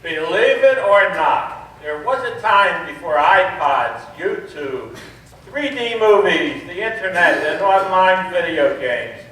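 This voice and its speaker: Bright, high-voiced nostalgic narrator played by a man. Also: lively intonation, slow pace, heavily acted. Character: nostalgic old-time radio narrator. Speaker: narrator of a stage play. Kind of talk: scripted narration. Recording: echoey hall, far from microphone.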